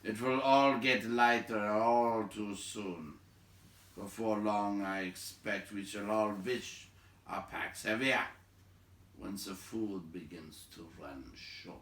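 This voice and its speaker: A man's voice: lowish voice, slow pace, a bit bright, reverberant, far from the mic. Man as a blunt, grumbling dwarf leader. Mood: wry.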